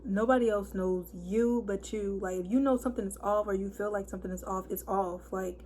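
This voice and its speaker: A hoarse woman of twenty-one, chatting casually to camera and packing her talk with fillers. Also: medium pitch, steady tone, brisk, a little mellow, slight background noise. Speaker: vlogger. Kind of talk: casual story time chat.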